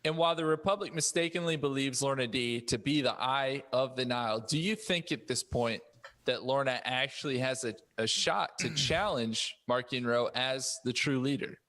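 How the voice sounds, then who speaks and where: A man's voice: medium pitch, moderate pace, a bit bright, long reverb tail. A man hosting a casual podcast roundtable chat.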